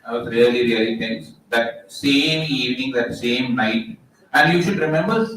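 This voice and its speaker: Young Indian man, loud and jokey storyteller, heard from a distance in a very echoey hall. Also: medium pitch, moderate pace. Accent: Indian English. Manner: jokey, asks the crowd questions. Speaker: motivational speaker. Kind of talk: storytelling talk to a live audience.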